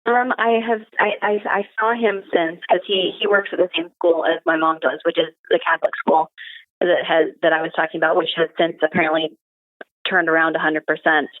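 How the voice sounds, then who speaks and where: Young woman, low voice, phone-like sound. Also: flat delivery, brisk, dry recording. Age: young adult. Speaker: podcast guest. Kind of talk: casual personal storytelling. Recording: narrow phone-like call audio.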